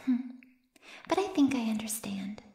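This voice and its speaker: A woman's voice: fairly high pitch, animated, a little slow, dark tone. A woman playing an ancient, smugly teasing female vampire in an intimate ASMR roleplay, murmuring coyly and often slipping into a whisper. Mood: mock-soothing, teasing. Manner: coy, breathy murmurs that often drop to a whisper. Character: ancient predatory female vampire, playfully menacing, smugly teasing her captive. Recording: roomy cave-like echo.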